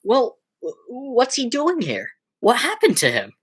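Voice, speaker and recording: A teenage woman playing an agitated, horrified young man who stammers and exclaims in a high school radio play. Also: huge pitch swings, unhurried, slightly rough, full character voice. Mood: baffled, alarmed.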